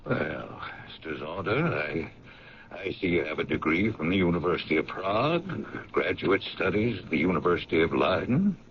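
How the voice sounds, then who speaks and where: Low, gravelly American businessman. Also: big pitch swings, moderate pace. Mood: musing, reflective. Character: plain-spoken, skeptical old-fashioned paint company owner, middle-aged. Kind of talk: radio drama acting. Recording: muffled, noisy vintage radio broadcast.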